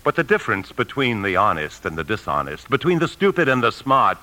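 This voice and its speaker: Self-justifying American husband, low, sharp-toned, emotional, halting under strain, vintage radio drama. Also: male voice, sing-song. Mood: defiant, scoffing. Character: desperate husband who stole from his bank, self-justifying. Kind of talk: 1950s radio drama acting. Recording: noisy old 1950s radio broadcast.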